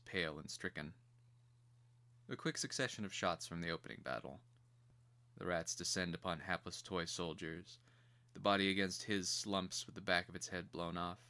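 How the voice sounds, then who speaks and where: A man narrating a screenplay as scripted drama, in a grave, deliberate and theatrical manner. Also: low-pitched, bright, forceful tone.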